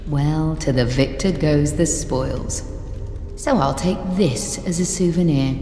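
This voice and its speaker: A woman's voice: deep voice, slow pace, mellow. A woman playing a confident, wry treasure seeker in a video game, with a teasing, sardonic way of talking. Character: wry, confident female treasure-seeking adventurer. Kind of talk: video game character acting. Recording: reverberant hall with music behind.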